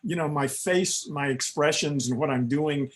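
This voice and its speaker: A man who hosts a podcast, chatting casually on a video call, laughing often and filling in with quick yeahs. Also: a little high. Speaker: podcast host.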